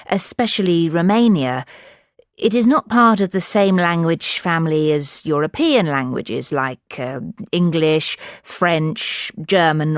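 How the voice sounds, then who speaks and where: A woman giving a calm, clear, unhurried sample answer in a scripted exam speaking recording. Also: sits low, dry recording, clean studio sound.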